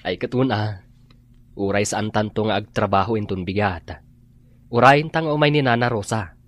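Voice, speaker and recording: Actor as a lowish-voiced caring Filipino husband. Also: male voice, normal speed, natural voice. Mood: concerned. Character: caring working-man husband. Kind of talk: radio drama acting.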